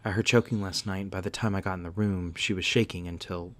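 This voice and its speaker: Shaken male colleague played by a man, deep voice, hesitant and concerned, audio drama delivery. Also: steady tone, close to an everyday voice. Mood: uneasy concern. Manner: halting, hesitant, uneasy. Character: shaken, uneasy male colleague of a young priest.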